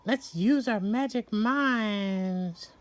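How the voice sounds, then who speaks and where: A woman telling a bedtime story in a gentle, playful chat, as if talking to a child. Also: medium pitch, very slow.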